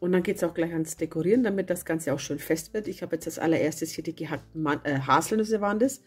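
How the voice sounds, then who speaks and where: A woman with a cold and a hoarse voice, chatting casually as she narrates a home baking video and correcting herself as she goes. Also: sits low, quick pace. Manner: chatty, self-correcting, homely. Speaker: cooking channel host. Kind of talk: casual recipe voice-over. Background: soft guitar music.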